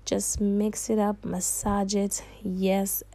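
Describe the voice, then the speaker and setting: Woman, young adult, cooking host, casual sassy chat, mid pitch. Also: little pitch movement, slow pace, mellow. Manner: chatty and sassy, calls viewers darling and honey. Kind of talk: cooking tutorial voice-over.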